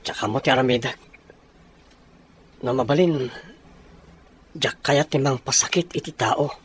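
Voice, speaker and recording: A man's voice: mid-range voice, measured pace, husky. A man with an Ilocano accent acting an earnest soldier in a radio drama. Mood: troubled. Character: earnest soldier abroad confiding in his buddy.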